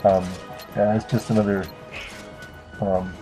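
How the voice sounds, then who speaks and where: A man's voice: lowish voice, little pitch movement, slow pace, mellow. A young man giving casual, unscripted video game commentary in a hesitant, rambling, offhand way. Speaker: let's play commentator. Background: video game music.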